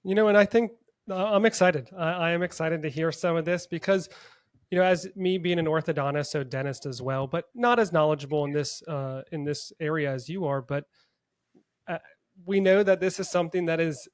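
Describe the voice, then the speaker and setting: A man's voice: a little high, a little fast, no room sound, clean studio sound. A man hosting a casual interview podcast in an upbeat, enthusiastic manner. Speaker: podcast host; orthodontist.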